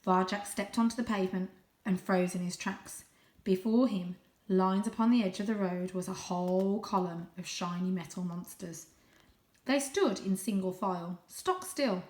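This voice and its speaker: A woman reading a children's story aloud in an expressive, suspenseful, animated way. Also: medium pitch, moderate pace, reverberant. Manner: animated, suspenseful, with gasped asides. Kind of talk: dramatic, expressive audiobook-style story narration.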